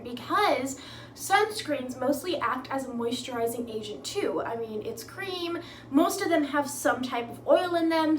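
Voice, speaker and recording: A woman's voice: high-pitched, slight background noise. A woman giving a casual makeup tutorial at home, chatty and upbeat, dropping lots of fillers as she explains each step. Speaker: makeup vlogger. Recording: echoey room.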